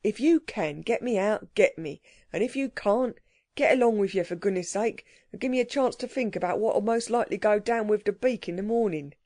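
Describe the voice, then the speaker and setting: A woman voicing a rough working-class male burglar, talking in slangy, grumbling dialect. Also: medium pitch, a little fast, mellow, no echo, studio quality, natural voice. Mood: flustered.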